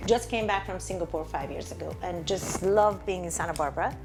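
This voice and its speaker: A female interior designer from Iran chats casually as a guest in an interview. Her voice is on the low side for a woman, and music is clearly heard behind it. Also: a little fast.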